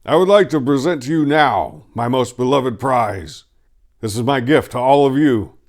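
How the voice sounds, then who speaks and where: A commanding fantasy warlord, acted by a man reading a story proclaims to his lords. The voice is loud and pushed up high, with grand, proud, declamatory delivery. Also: moderate pace, lightly acted.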